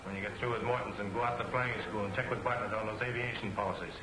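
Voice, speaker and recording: An actor playing a curt, commanding American crime boss giving clipped orders, in 1940s film serial villain style. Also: male voice, lowish voice, steady tone, very fast, noisy background, lightly acted. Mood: commanding, menacing. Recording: echoey old soundtrack, loud music.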